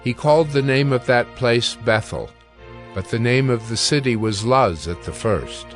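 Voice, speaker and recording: A man narrating scripture from a script in a measured, formal way. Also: medium pitch, lively intonation, a bit bright, faint music behind.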